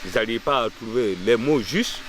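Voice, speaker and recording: A man's voice: medium pitch, fairly quick, fairly bright tone, a little hiss or hum. A man from Burkina Faso, leading a bronze-casting workshop and talking in a documentary interview, hesitant and full of fillers. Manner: hesitant, with many fillers and restarts.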